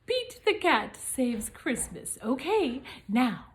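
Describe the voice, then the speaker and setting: A woman reads a children's picture book as Mrs Claus. Her voice is high and very expressive, and she takes her time, speaking chattily to little listeners. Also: slow. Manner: chatty asides to young children, chuckling. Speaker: storyteller presenting as Mrs Claus.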